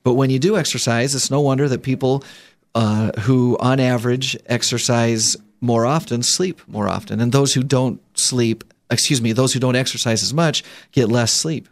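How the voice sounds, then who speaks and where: Guy hosting a studio radio talk show, mid-pitched voice, lots of ums and laughs. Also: fairly even intonation, normal speed. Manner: chatty, laughs often, many fillers and restarts. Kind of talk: casual studio chat. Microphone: studio microphone.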